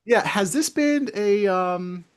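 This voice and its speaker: A man hosting a casual interview in an informal, chatty way with halting phrasing. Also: high voice, expressive, clear, resonant tone. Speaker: interview host.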